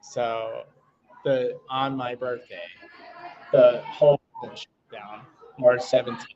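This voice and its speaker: Male guest, thirties, relaxed chatty chef with lots of fillers, muffled lo-fi sound. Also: mid-range voice, takes it slowly, slight background noise. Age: 30s. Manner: relaxed, many fillers like "you know". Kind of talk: casual interview chat. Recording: muffled lo-fi audio.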